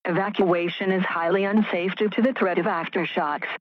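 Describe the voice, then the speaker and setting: A woman's voice: on the low side, fairly even intonation, normal speed, no echo, natural voice. A woman voicing a stern civil emergency announcer, reading an official warning with formal authority. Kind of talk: scripted emergency alert message. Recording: dull, dry radio alert audio.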